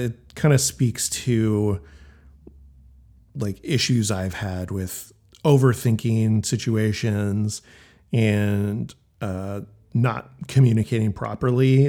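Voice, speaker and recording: A man hosting a casual music podcast, talking loosely with lots of fillers and quick agreements. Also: lowish voice, mellow. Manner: laid-back, many fillers and quick agreements. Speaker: podcast host.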